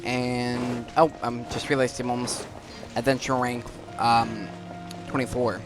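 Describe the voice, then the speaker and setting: A man's voice: mid-range voice, level tone, measured pace. A man giving casual commentary as the host of a gaming video. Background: game music.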